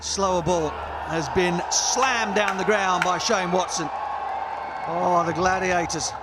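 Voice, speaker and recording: Man, live cricket commentary, high voice, exclamatory play-by-play, crowd noise behind. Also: steady tone, slow pace. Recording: loud music and crowd behind. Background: music and crowd noise.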